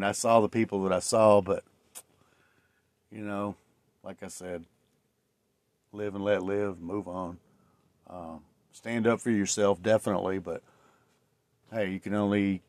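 A man's voice: fairly low pitch, a little mellow, no echo. A man in his late forties hosting his own podcast, talking alone in a rambling, reflective way with many fillers and restarts. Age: 40s. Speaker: podcast host. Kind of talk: casual solo podcast monologue.

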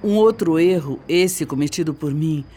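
An actress with a Brazilian accent playing a composed, calculating middle-aged mother in a radio soap opera, speaking in a measured, hesitant, confessional way. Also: sits low, mellow, soft background music, lightly acted. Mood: regretful.

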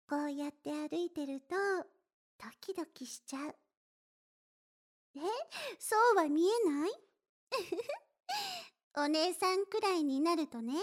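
A very high, girlish young woman played by a voice actress in the role of a doting older sister. She talks slowly and tenderly, straight to the listener, with a playful, coaxing lilt. It is intimate Japanese situation-drama acting, affectionate and a little teasing. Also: exaggerated voice. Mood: sweet, bashful. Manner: gentle, coaxing, playful, addressing listener softly.

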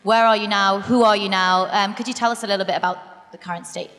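A woman's voice: medium pitch, steady tone, fast, a bit bright. A woman moderating a conference panel, upbeat and a little hesitant, with frequent fillers as she introduces the guests. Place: conference hall stage. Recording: long hall reverb.

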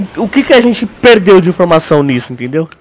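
A man's voice: high-pitched, big pitch swings, fast talker. A young man with a Brazilian accent chatting casually as a podcast co-host, using lots of slang and filler words. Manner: slangy, with frequent filler words like "tipo" and "mano". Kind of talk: casual chat about a sci-fi audio drama.

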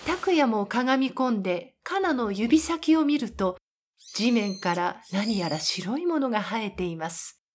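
A woman voicing a calm storyteller, narrating a scripted Japanese audio drama. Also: fairly high pitch, unhurried. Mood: calm narration.

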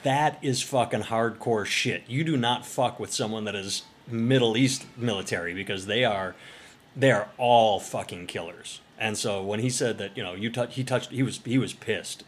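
A man's voice: lowish voice, normal speed, slight background noise. A man co-hosting a podcast, casually telling a profane, rambling story. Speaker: podcast co-host.

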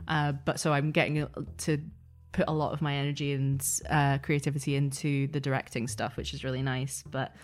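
A woman's voice: very deep voice, flat delivery, a little fast, few pauses. A woman chatting casually as an interview guest, thinking aloud in a thoughtful, hesitant way. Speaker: interview guest, audio drama producer and editor.

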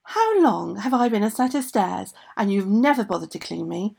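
A woman's voice: mid-range voice, big pitch swings, moderate pace, gravelly, darkish tone, breathy edge, full character voice. A woman voicing aggrieved enchanted things that reproach their mistress in a storytale. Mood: indignant, reproachful. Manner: indignant, reproachful. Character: aggrieved enchanted household things and servants refusing orders.

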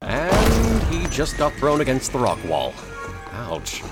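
A wry fantasy adventurer, acted by a man, speaks over a clear music bed. His delivery swings very widely in pitch, in audio drama style. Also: wildly swooping pitch, takes it slowly, exaggerated voice.

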